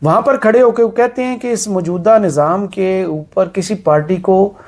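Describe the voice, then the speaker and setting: Sarcastic high-voiced male talk host. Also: normal speed, a little mellow. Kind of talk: political talk show.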